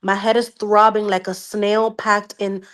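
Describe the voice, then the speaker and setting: A woman's voice: medium pitch, little pitch movement, unhurried, fairly bright tone. A woman co-hosting a book club podcast, casually reading aloud with expression and swearing, sometimes interjecting in Spanish. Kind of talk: casual read-aloud with reactions.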